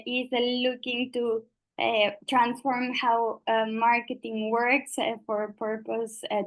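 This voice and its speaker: Woman, slightly high voice, slow and halting, lots of filler pauses, panel speaker. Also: fairly bright tone, poor audio. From Mexico. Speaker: founder of a marketing agency. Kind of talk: panel introduction and discussion.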